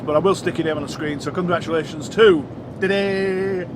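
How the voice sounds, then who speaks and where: A man's voice: steady tone, brisk. A man with a Yorkshire accent and a slightly congested, sniffly voice, chatting casually and bluntly to viewers as a truck driver vlogger, thinking aloud as he goes. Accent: Yorkshire English. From Yorkshire, England. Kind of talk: casual rambling chat to viewers. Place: inside a lorry cab while driving. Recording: loud engine and road rumble.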